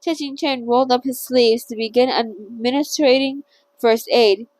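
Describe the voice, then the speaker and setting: A woman's voice: fairly high pitch, normal speed, a little mellow, no room sound, rough recording. A woman hosting a casual read-along of a novel, chatty and stumbling now and then over hard words. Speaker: channel host reading a novel aloud.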